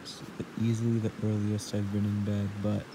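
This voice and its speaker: A young man vlogging a solo camping trip in a laid-back, deadpan way, talking to a handheld action camera from his hammock and his truck. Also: lowish voice, normal speed. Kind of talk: casual solo camping vlog. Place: outdoors in a hammock, then inside a truck cab. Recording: action camera with outdoor noise.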